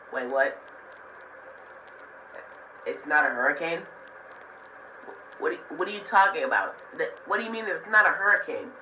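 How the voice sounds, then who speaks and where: A man's voice: fairly high pitch, sharp tone. A man playing a bewildered, rambling space cadet phoning into a mock newscast. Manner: rambling, flustered. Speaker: interviewed guest. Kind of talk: improvised mock news interview. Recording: muffled lo-fi line, faint noise.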